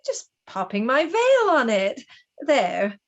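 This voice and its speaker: A woman's voice: fairly high pitch, huge pitch swings, takes it slowly, dull audio, heavily acted. A woman playing a playful, flirtatious Victorian society lady in an amateur radio play.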